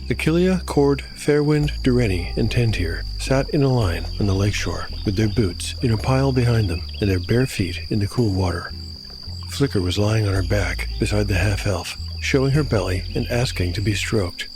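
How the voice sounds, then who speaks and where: A man narrating a scripted audio drama as game master, with a measured storyteller's delivery. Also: fairly low pitch, animated, noisy background. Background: music.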